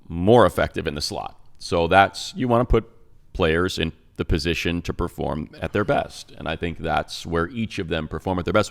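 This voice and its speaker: A man co-hosting a sports talk show, breaking down football in a rambling, restart-heavy way. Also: low-pitched. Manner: rambling analysis with many restarts. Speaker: radio play-by-play announcer and co-host.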